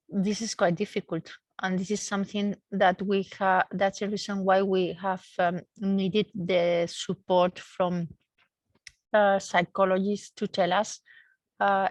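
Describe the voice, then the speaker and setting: A woman's voice: mid-range voice, no echo. A woman research engineer giving a technical explanation in a seminar, searching for words and often repeating them as she goes.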